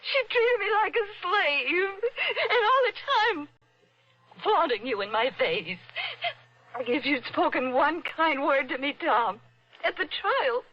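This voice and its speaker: A hysterical young murderess. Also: female voice, sits high, sing-song, slow, dry recording, exaggerated voice. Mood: pleading, sobbing. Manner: sobbing, frantic, breathless pleading. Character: desperate young woman confessing murder, hysterical. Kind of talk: 1950s radio drama acting. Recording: muffled noisy old radio broadcast.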